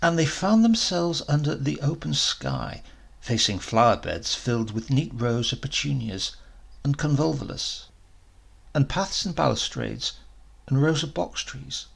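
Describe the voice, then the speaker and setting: Guy reading a short story, dramatic and measured, raspy voice, really lively intonation. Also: medium pitch. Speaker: story reader. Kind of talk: expressive literary story narration.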